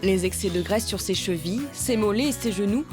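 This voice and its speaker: Female voice-over narrator, measured read, mid-pitched, over backing music. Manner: even, measured reading. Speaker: documentary narrator. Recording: music playing underneath.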